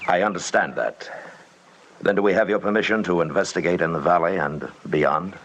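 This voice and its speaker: An actor playing an earnest, businesslike expedition leader who narrates and talks in an old-time radio drama. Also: male voice, low voice, a little fast, a bit bright, slight background noise. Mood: respectful.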